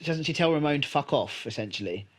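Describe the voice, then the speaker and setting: A man's voice: a little high, lively intonation, fast talker. A man co-hosting a podcast in casual chat, with playful, sweary banter and emphatic repetition. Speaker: podcast co-host. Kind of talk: casual chat recapping a TV episode.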